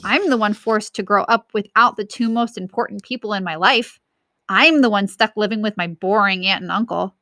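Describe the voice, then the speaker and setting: Defiant nervous school kid read by a lady, earnest n indignant, bright voice, storybook, dry sound. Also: mid-range voice, moderate pace, no room sound.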